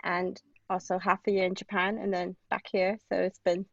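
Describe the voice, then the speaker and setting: A woman's voice: mid-range voice, level tone, moderate pace, vocal fry, no echo, highs cut off. A woman from Hong Kong talking casually as an interview guest, with informal, hesitant phrasing. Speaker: guest playwright.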